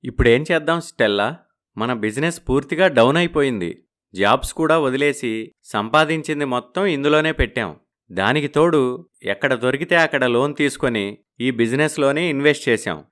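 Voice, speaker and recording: A man playing a worried, earnest businessman husband in an animated story. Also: mid-range voice, moderate pace, close to an everyday voice. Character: worried young businessman husband living abroad.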